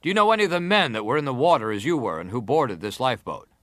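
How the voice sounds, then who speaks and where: An actor playing an insistent senator who leads a formal inquiry, questioning in a pressing, formal manner. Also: male voice, mid-range voice, very animated, quick pace, fairly bright tone, no room sound, clean studio sound. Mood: probing, formal. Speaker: actor reading testimony. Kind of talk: dramatized reading of hearing testimony.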